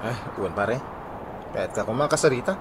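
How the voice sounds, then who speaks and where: A man's voice: fairly low pitch, lively intonation, fast, lightly acted. An actor playing a meek, embarrassed, jobless man in a comedy radio drama, speaking in a subdued, hesitant way. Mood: apologetic. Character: meek, embarrassed jobless friend asking for help. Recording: noisy ambience, birds behind.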